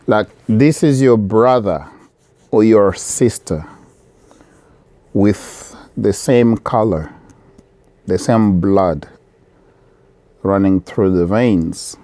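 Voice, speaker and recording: A middle-aged man from the Democratic Republic of Congo, speaking straight to camera from his shop in an earnest, measured appeal that repeats key phrases. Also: lowish voice, dark tone, no room sound. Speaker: vlogger, business owner.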